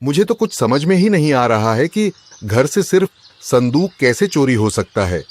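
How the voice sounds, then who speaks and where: A man playing an agitated, suspicious rich merchant husband in a cartoon, loud and complaining. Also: mid-range voice, very animated, normal speed, slight background noise, close to an everyday voice. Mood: upset. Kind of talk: Hindi cartoon story voice acting.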